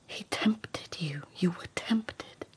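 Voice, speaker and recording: An actress playing a cool, sharp-minded lady-in-waiting and poet in a radio drama, husky, precise and detached. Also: lowish voice, slow pace, whispered, heavily acted. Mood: shaken, intent. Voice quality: husky, creaky. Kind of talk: radio drama acting and literary narration.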